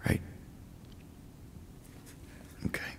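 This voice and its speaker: An actor playing a gentle, awkward man in a video game, speaking haltingly and earnestly to reassure. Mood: awkward, apologetic. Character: gentle, awkward man consoling a grieving child. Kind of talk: naturalistic video game cutscene acting. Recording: long reverb, clear background noise.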